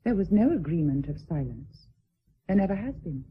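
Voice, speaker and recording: An actress playing a dignified, steadfast deserted wife of rank in a period radio drama, speaking in a measured, formal, resolute way. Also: female voice, on the low side, sing-song, husky, muffled recording, heavily acted. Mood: wounded, candid.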